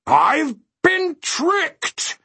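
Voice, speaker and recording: A man's voice: on the low side, expressive, unhurried, husky, no echo, full character voice. A man voicing a bombastic, stubborn mathematician from a storybook, declaiming with great emphasis. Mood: smug, then dismayed. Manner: emphatic, declamatory, swinging from bellows to ominous hush. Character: bombastic, stubborn mathematician ruler, thundering and ominous.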